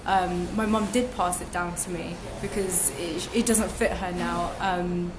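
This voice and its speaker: A woman's voice: fairly low pitch, steady tone, unhurried, background noise. A young woman talking as a podcast guest, reflective and chatty, with many ums and restarts. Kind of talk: casual interview chat. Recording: echoey room with music behind.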